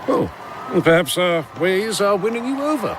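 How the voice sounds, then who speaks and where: A fussy, suspicious high official in a radio drama. His voice sits very high for a man, with formal, condescending phrasing, over a clearly noisy ambient scene. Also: big pitch swings, moderate pace. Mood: politely suspicious.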